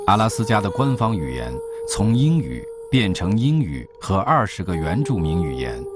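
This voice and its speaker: Deep male voice, calm documentary narration, studio voice-over, loud music underneath.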